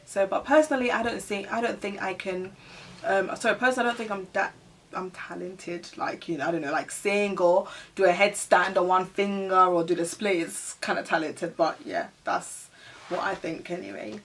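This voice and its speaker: A woman's voice: medium pitch, normal speed, a little hiss or hum. A woman from Ghana chatting casually as a vlogger, rambling with lots of "like" and "you know". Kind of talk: casual get-to-know-me chat. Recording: voice a bit distant from the mic.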